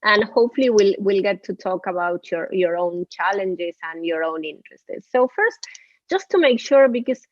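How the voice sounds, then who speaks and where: A woman's voice: medium pitch, animated, normal speed, lo-fi sound, slight background noise. A woman from Argentina with an Argentinian accent, giving a lecture on a webinar. She talks earnestly and often restarts and repeats words. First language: Spanish. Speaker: professor and webinar speaker.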